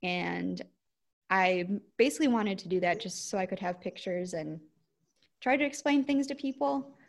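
A woman from the with a squeaky voice talks in a loose, rambling way. She leans on "like" and "um" as she chats with a friend on a podcast. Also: moderate pace, vocal fry. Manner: rambling, lots of "like" and "um". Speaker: podcast co-host, cranberry grower. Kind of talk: casual chat.

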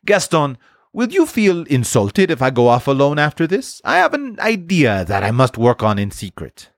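Guy doing a proud earnest little storybook mouse, dramatic, really swoopy pitch, dry sound. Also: wildly swooping pitch, normal speed, no echo.

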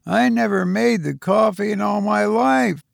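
A man's voice: sits high, measured pace, creaky, dry recording, clean studio sound, voice pushed up, exaggerated voice. A man voicing a helpless, childish, self-pitying woman in a storybook reading, with a plaintive, naive manner. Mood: astonished, helpless.

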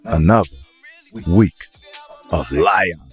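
A man's voice: deep voice, takes it slowly, husky, faint music behind. A man co-hosting a podcast in casual, slangy, laid-back, joking talk. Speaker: podcast co-host. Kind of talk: casual chat.

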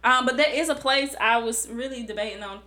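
A woman's voice: on the high side, brisk, pushed and bright. A woman co-hosting a podcast, chatting and teasing her friends in a casual way. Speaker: podcast co-host. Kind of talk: casual chat.

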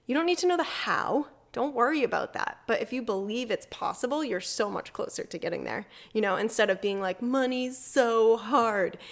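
A woman's voice: fairly high pitch, lively intonation, moderate pace, vocal fry, few pauses. A woman hosting a casual chat in an informal, goofy way, with slangy talk peppered with "like". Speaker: host.

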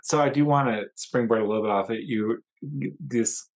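A man's voice: medium pitch, moderate pace, rough recording. Chatty man hosting a conversation. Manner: chatty, rambling, self-interrupting. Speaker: podcast host. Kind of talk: casual conversation.

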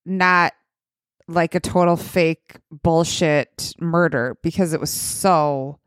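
A woman co-hosting a podcast, chatting casually with wry, deadpan asides. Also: deep voice, takes it slowly, smooth, no echo, clean studio sound. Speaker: podcast co-host. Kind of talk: casual chat.

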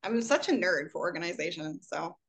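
A woman in her late twenties, a guest on a podcast, chatting casually and relaxed. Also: lowish voice, brisk, creaky, a little hiss or hum. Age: 20s. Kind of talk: casual interview chat.

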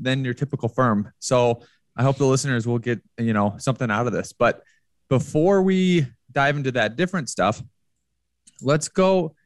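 Host: man, middle pitch, casual questions with restarts, dry close mic. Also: dry recording. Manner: conversational, stumbles and repeats words. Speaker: podcast host. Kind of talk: podcast interview.

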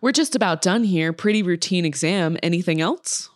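Woman playing an unfazed deadpan doctor. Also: lowish voice, moderate pace, lightly acted. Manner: deadpan, matter-of-fact. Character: unfazed clinical female doctor hearing absurd confession.

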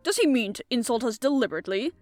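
A woman's voice: fairly high pitch, lively intonation, very fast, darkish tone, dry recording, soft background music, lightly acted. A woman voicing a rugged, furious male political agitator in a storybook, snarling his demands. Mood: livid. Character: rugged, hot-tempered male political extremist.

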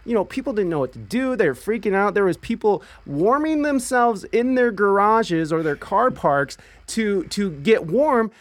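Lively chatty man in a dry room. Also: sits high, normal speed, few pauses, no room sound. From Ohio. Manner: chatty, laughs often, restarts words. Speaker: stream show host. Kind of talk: casual chat.

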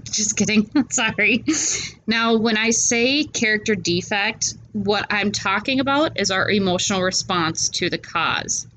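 A woman's voice: medium pitch, a bit bright, a little hiss or hum, rough recording. A woman hosting a solo podcast, talking in an earnest, chatty way with a stuffy nose from a cold. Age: adult parent. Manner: earnest, chatty, laughs at herself. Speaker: podcast host. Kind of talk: casual solo podcast talk.

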